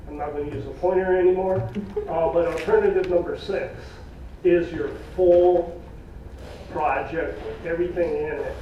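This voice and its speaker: A man presenting cost estimates at a public meeting in a plain, folksy way, losing his voice, with halting fillers. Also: fairly high pitch, fairly even intonation, slight background noise. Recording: echoey room from far off, dull.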